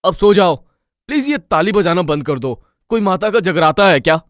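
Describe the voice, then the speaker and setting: Clear, fairly high-voiced young husband, acted by a man. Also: animated, normal speed, dry recording, studio quality. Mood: irritated. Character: young village husband, dry and grumpy, used to hardship.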